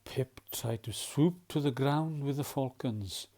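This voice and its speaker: Children's storyteller. Mellow, unhurried, expressive, playful questions and hushed moments. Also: medium pitch. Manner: animated, dramatic, often drops to hushed tones. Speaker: volunteer storyteller. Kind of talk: expressive read-aloud of a children's picture book, with questions to the listener.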